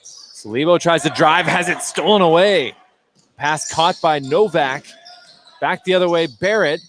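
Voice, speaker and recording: A man with a somewhat high voice calls a basketball game play by play. He is in a gym, with clear ambient noise and ball bounces behind him. It is a live radio-style sports commentary. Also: a little slow. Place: at courtside in an indoor gymnasium.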